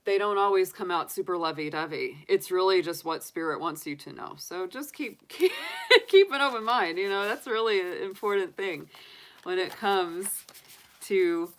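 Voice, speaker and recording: A woman's voice: fairly low pitch, normal speed. A woman from the United States, casually chatting on a livestream as a tarot reader, rambling with many fillers and restarts. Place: home room with candles and sage.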